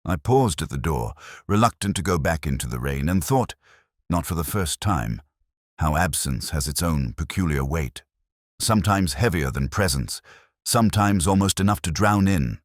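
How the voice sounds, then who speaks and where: A man playing a brooding, erudite middle-aged detective, narrating an audio drama in measured, reflective, literary phrases. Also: rumbling low, expressive, no echo, clean studio sound. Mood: brooding, wistful. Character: brooding, erudite male English police detective, melancholy, observant.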